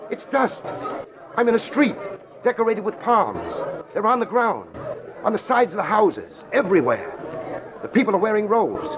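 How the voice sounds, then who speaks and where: Awestruck radio reporter, noisy recording. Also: male voice, a little high, muffled recording. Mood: amazed. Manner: dramatic, wonder-struck, halting. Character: awestruck male radio reporter transported to ancient Jerusalem. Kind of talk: old-time radio drama acting.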